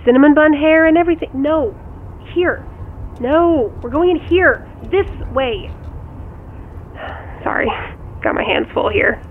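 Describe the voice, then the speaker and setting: Harried mother juggling kids, high-pitched, heard through a thin phone line, natural radio drama delivery. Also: unhurried, long pauses. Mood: distracted, busy. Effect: phone call filter. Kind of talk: naturalistic audio drama dialogue. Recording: tinny phone line, faint noise.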